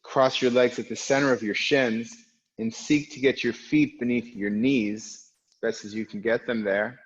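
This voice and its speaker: A man guiding an online yoga class, with a loud, strong voice, calm and reflective, speaking in unhurried phrases with pauses. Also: medium pitch, muffled recording. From United States. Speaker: yoga teacher. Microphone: recorded for an online class video.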